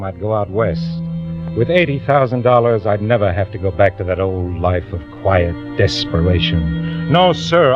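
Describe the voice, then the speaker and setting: An actor playing a quietly scheming impostor in a 1940s radio drama, measured and confiding in his delivery. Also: fairly low pitch, natural voice. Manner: measured, confiding first-person narration. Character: quietly scheming male clerk turned impostor, narrating his plot. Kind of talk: 1940s radio acting, scripted narration. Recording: muffled old radio, music behind.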